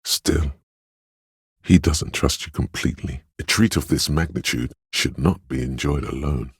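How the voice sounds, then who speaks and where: A man playing the gentle, perceptive inner voice of empathy in a role-playing game, narrating in a measured, intimate, solemn and literary way. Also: no echo, clean studio sound, exaggerated voice. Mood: tender, perceptive. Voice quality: very deep, gravelly, husky.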